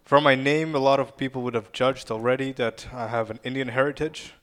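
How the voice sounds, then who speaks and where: A man's voice: medium pitch, steady tone, brisk, fairly bright tone. A man from Ukraine, a podcast guest, telling stories in a chatty way and laughing often. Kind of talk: casual podcast chat.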